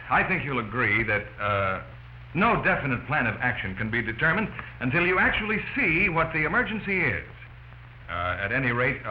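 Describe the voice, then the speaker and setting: Guy acting as american fire officer giving earnest training lecture, lively pitch, muffled old film audio. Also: natural voice. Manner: earnest, instructional. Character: earnest uniformed male fire officer instructing crews. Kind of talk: scripted 1940s training film lecture to camera. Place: small indoor office set. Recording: dull noisy 1940s film soundtrack.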